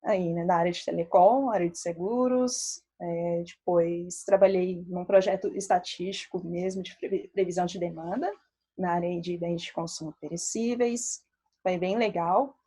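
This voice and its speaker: A woman in her thirties speaks with a Brazilian accent that blends Minas Gerais and Rio. Her voice is mellow and dark. She talks in a relaxed, chatty way, full of fillers and light asides. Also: on the low side, normal speed, breathy edge. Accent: Brazilian Portuguese, mixed Minas Gerais and Rio. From Belo Horizonte, Brazil. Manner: relaxed, chatty, many fillers, laughs often. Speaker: statistician presenting to a meetup. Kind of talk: casual talk.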